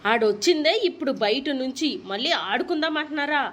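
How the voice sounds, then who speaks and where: Woman acting a high, fast-scolding Telugu cartoon mother. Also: a little hiss or hum, close to an everyday voice. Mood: exasperated. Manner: nagging, sarcastic, threatening. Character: exasperated, scolding young mother of two naughty children.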